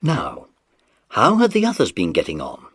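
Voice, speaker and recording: A man narrating a children's story as a scripted audiobook, in an animated storytelling style. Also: fairly low pitch, moderate pace, background noise.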